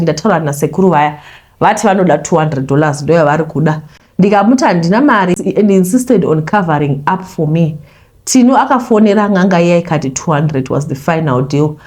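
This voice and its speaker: A Zimbabwean woman reading a listener's confession aloud and commenting on it, mixing Shona and English in an animated way. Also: fairly low pitch.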